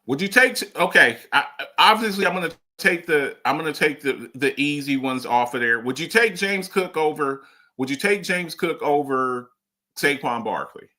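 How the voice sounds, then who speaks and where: A man on a sports talk show debates football players with a bright, fairly high voice. He talks in an animated way, repeating himself and pressing with pointed questions. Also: moderate pace.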